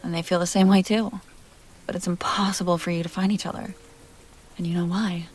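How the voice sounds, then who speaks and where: Low-voiced, teasing vampire heiress, played by a woman. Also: flat delivery, fairly quick, close to an everyday voice. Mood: pensive, then soft, wistful. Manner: playful, smooth-talking, addressing the listener directly. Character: teasing, self-assured young female vampire heiress. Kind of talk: intimate roleplay audio drama.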